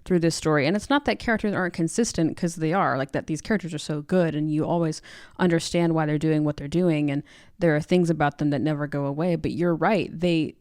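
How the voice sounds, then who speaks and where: A woman's voice: sits low, a little fast, darkish tone, no echo, studio quality. A woman co-hosting a podcast, talking through a book in a casual, reflective and conversational way. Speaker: podcast co-host.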